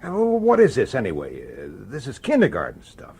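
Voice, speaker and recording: Husky, world-weary police detective. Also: male voice, medium pitch, huge pitch swings, normal speed, dark tone. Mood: bitter, exasperated. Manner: grumbling, sarcastic, hesitant with superiors. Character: sardonic, world-weary middle-aged male police detective narrating his case. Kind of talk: radio drama acting with first-person narration.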